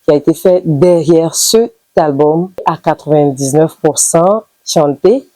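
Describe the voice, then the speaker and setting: A young woman singer from Benin, whose first language is Idaasha, answers questions in a measured, pausing way. Her voice sits low and mellow. The sound is dry and close. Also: no room sound. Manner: measured, with pauses and fillers. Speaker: singer being interviewed. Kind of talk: interview answers.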